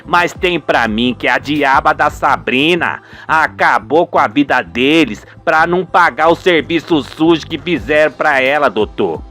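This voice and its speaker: A man's voice: fairly high pitch, lively intonation, a little slow, few pauses, bright, forceful tone, soft background music, pitched below the performer's own voice. A man voicing an indignant old cheesemaker, folksy and emphatic, in a radio soap opera. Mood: accusing, indignant.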